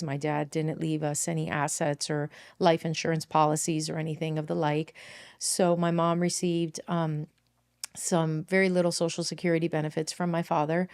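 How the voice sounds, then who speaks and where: A woman in her fifties talks through her own story as a podcast host, her voice low and smooth. She speaks earnestly and reflectively, often pausing on fillers and correcting herself mid-sentence. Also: darkish tone. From Miami, Florida, United States. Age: 50s. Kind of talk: personal storytelling monologue.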